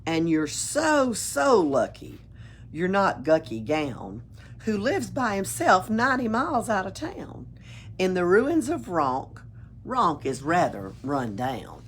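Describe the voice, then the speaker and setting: A woman reading a children's storybook aloud in an expressive, playful way, adding her own asides. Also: on the low side, slow, a little hiss or hum. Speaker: story reader at a library. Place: library.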